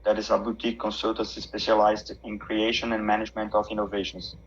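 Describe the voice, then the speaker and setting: Low flat husky man interviewing. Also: quick pace, lo-fi sound. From Brazil. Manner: hesitant, frequent backchannel. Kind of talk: live interview.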